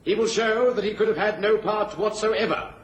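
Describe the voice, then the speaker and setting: Guy playing barrister, high voice, pompous n theatrical, echoey court, radio drama. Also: moderate pace, a little hiss or hum, lightly acted. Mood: scornful, oratorical. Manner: pompous, declamatory.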